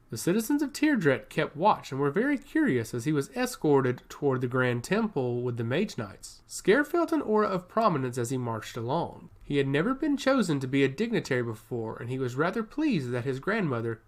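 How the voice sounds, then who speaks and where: A man narrates a fantasy tale as a scripted audiobook reading. His pitch is mid-range and moves up and down in a very animated way. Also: normal speed, few pauses.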